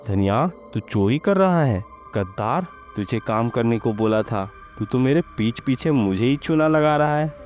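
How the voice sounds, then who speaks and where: A man's voice: medium pitch, expressive, moderate pace, few pauses, a little mellow, faint music behind, lightly acted. A man voicing a cruel, bullying landlord in a Hindi-style village horror story, with a threatening, scornful manner. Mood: angry, scornful. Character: cruel, menacing landlord man, bullying his farm workers.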